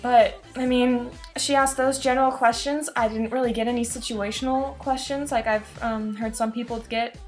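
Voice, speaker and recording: A woman's voice: fairly high pitch, steady tone, moderate pace, hardly any pauses, soft background music. A woman around twenty chatting casually to camera as a student vlogger, in an informal, rambling way, often interrupting herself. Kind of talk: casual chat to camera recounting an experience.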